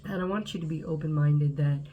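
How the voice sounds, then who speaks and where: A woman's voice: low voice, brisk, narrow, tinny audio, slight background noise. A woman in her twenties, from Southern California, congested with a cold, talking casually to camera with frequent check-ins. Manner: chatty, frequent "okay?" check-ins and fillers. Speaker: channel host.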